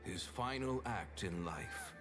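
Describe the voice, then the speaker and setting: Slow, solemn doctor storyteller. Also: male voice, fairly low pitch, expressive. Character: gentle, solemn male doctor telling an old legend. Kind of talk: dramatic scripted game narration. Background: loud music.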